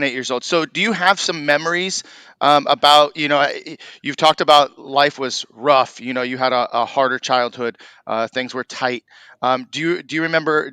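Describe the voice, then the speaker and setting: Bright, crisp-voiced podcast host. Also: male voice, mid-range voice, no echo. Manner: friendly, follow-up questions. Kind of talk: interviewing a guest.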